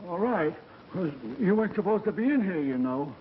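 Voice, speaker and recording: An actor playing a plain-spoken, gruff but soft-hearted doorman, blunt and colloquial, in a 1930s film style. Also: sits high, very animated, a little fast, a little hiss or hum. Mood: awkwardly sympathetic. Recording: dull 1930s film soundtrack with music.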